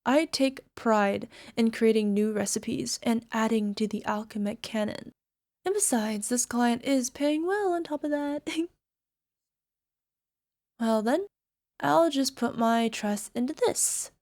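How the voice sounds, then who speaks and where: A woman's voice: fairly high pitch, takes it slowly, darkish tone. A woman playing a bubbly young alchemist who turns obsessively lovestruck, in a hesitant, giggly ASMR roleplay manner that slips into whispers. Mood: focused, sheepish. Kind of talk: ASMR girlfriend roleplay audio drama.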